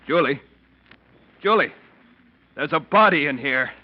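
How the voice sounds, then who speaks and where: An actor playing a pushy, excitable young sweetheart with colloquial speech in an old radio mystery. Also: male voice, fairly high pitch, measured pace, over music. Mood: alarmed. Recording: muffled, very noisy old radio recording.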